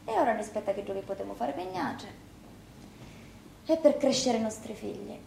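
Anxious, tender Sicilian sister, theatre piece, highish creaky voice, roomy with some noise. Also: female voice, lively intonation, fairly quick, warm tone. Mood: relieved, subdued. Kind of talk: Sicilian dialect stage theatre acting.

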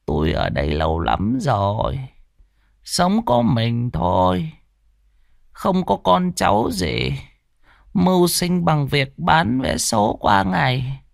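A man's voice: a little high, big pitch swings, slow pace, creaky, no room sound, clean studio sound, voice pushed up, exaggerated voice. A man voicing a frail old woman who sells lottery tickets, speaking weakly with a stammer and on the verge of tears. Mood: tearful. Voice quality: weak, trembling, stammering.

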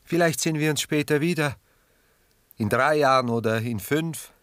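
An actor playing a reflective, tender, melancholy older lover in a radio drama, speaking in a measured literary way. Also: male voice, a little high, a bit bright, clear, resonant tone, dry recording, clean studio sound, natural voice. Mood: wistful. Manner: measured, literary, musing delivery. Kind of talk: 1960s German radio drama acting.

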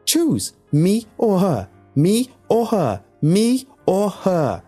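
A man's voice: a little high, big pitch swings, measured pace, faint music behind, pitched above the performer's own voice. A man voicing a jealous, demanding mistress in an audio story, hammering out each word with emphasis. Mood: screeching, frantic. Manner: forceful, emphatic syllables. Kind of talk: dramatic audio story dialogue.

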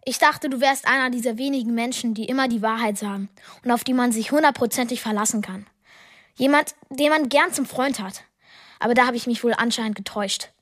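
An actress playing a hurt, insistent young teenage friend in a radio play. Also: female voice, a little high, fairly quick, a bit bright, no echo, studio quality. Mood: hurt, bitter. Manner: insistent, reproachful.

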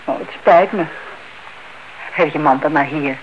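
An actress playing an anxious, apologetic older aunt in a radio play, hesitant and exclaiming, repeating herself. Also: female voice, low voice, a little slow. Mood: apologetic, fussing. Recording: dull, noisy old radio drama recording.

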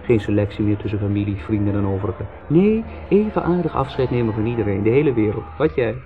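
A man's voice: on the low side, sing-song, quick pace. An actor playing a wry, musing, fatalistic man in a 1970s radio drama, speaking with irony. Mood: flippant, musing. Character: Joris, sardonic, rambling, fatalistic brother. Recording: tinny, noisy 1970s radio recording.

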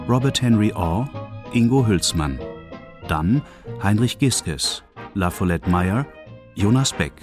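A man announcing the title and cast of a radio drama in a measured, formal way. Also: lowish voice, a little mellow. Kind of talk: scripted radio drama credits. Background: music.

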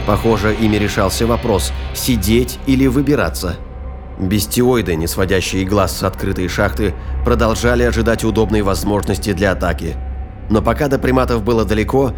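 Low-voiced male narrator over dramatic music. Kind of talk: scripted narration of sci-fi thriller audio drama.

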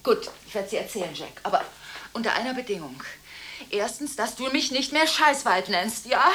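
A woman's voice: expressive, moderate pace, slight background noise. An actress playing a volatile, contradictory woman arguing through one side of frantic telephone calls in a radio drama. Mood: urgent, bargaining. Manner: abrupt swings between hushed confiding and shrill scolding.

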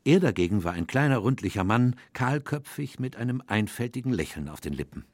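An actor playing a measured, reflective gentleman who narrates a radio play in the first person. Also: medium pitch, very animated, dry recording. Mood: observant.

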